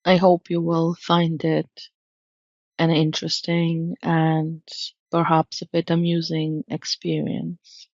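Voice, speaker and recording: Woman, meditation guide, soothing and gentle, very slow delivery, gravelly fry, dull muffled audio. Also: sits low, completely flat delivery, no echo. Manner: soothing, gentle, measured instruction.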